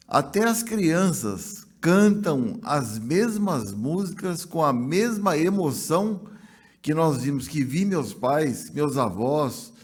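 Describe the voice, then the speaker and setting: A man with a Brazilian accent, a city councilman, giving a reflective ceremony speech that slows on key words. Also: a little high, slightly rough.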